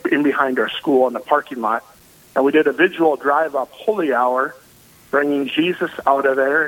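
A man, a parish priest, speaking loudly and energetically as a guest on a radio interview. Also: medium pitch, low-quality recording. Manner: loud, energetic and emphatic.

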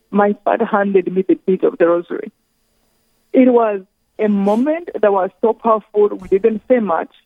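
A woman from Rwanda, speaking slowly and earnestly as a guest on a phone interview, often pausing mid-thought. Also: mid-range voice, dry recording. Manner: slow, earnest, halting with careful pauses. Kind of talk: phone interview, personal testimony. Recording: tinny phone line.

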